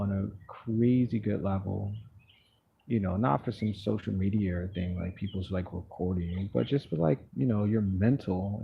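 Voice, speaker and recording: A man talking slowly and calmly in a monotone, chatting relaxed encouragement while he draws on a live stream. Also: on the low side, creaky, narrow, tinny audio, a little hiss or hum. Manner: slow, calm, monotone. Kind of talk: relaxed motivational chat while drawing. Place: outdoors.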